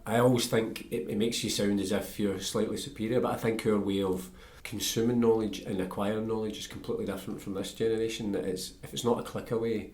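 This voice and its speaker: A man's voice: on the low side, fairly even intonation, quick pace, hardly any pauses, darkish tone. A Scottish man hosting a casual, conversational book interview. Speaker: podcast host, interviewer.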